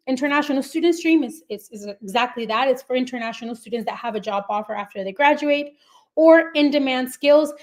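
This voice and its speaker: A woman's voice: on the high side, darkish tone. A woman running her own immigration firm, hosting a live webinar and explaining programs in a friendly way, with frequent fillers and restarts.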